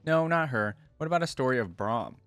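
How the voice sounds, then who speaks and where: A man's voice: medium pitch, lively intonation, fairly quick, a bit bright, no echo, soft background music, lightly acted. Man reading as an eager, insistent young girl. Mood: reluctant. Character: eager young girl hearing a bedtime story.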